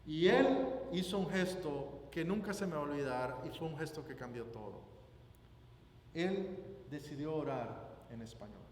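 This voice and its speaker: A male preacher with a Guatemalan Spanish accent and a slightly high-pitched voice delivers a sermon. He speaks in deliberate, emphatic phrases, often repeating them. The sound is echoey, from a reverberant hall with faint noise behind him. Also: lively intonation. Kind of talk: sermon with scripture reading and personal anecdote. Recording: roomy echoing hall, background noise.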